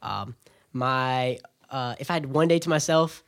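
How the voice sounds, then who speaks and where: A young American man from Florida chatting casually as a podcast co-host, excitable and enthusiastic. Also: mid-range voice, a little slow, clear, resonant tone. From Florida, United States. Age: young adult. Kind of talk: casual chat.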